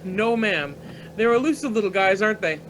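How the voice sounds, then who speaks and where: A man's voice: high voice, expressive, a little fast, a bit bright, slight background noise, rough recording. An actor plays a young man at the wheel, breezy and sure of himself. The delivery is radio drama, with faint vehicle ambience behind it. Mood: easygoing, alert. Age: young adult. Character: cocky, breezy young male driver. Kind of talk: radio play acting.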